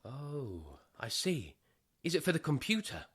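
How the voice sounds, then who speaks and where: A man's voice: fairly high pitch, wildly swooping pitch, measured pace, husky, exaggerated voice. An actor in a radio comedy play as a timid, earnest, literal-minded man of thirty-six signing up with a dating agency. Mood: timid, puzzled.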